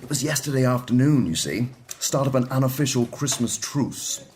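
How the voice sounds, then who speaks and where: An actor playing a chummy young upper-class army officer home on leave in a comic period radio drama. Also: male voice, mid-range voice, moderate pace, darkish tone, a little hiss or hum, lightly acted. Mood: rueful.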